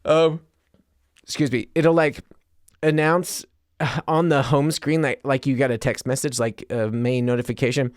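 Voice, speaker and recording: A man's voice: mid-range voice, steady tone, measured pace, dry recording, studio quality. A man hosting a solo podcast in a casual, rambling, conversational and self-deprecating way, like a stand-up comic chatting to listeners. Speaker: podcast host and stand-up comedian.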